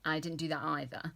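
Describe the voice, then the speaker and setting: Low-voiced woman chatting about books. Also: vocal fry. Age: 30s. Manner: rambling, lots of fillers and restarts, laughs at herself. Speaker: book vlogger. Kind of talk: casual chatty book talk.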